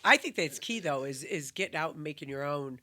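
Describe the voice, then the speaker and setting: A woman co-hosting a relaxed conversation in a bright, clear voice. She agrees readily, chimes in often and laughs easily, with a self-deprecating touch. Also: sits low, fairly quick. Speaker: podcast co-host. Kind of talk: casual chat.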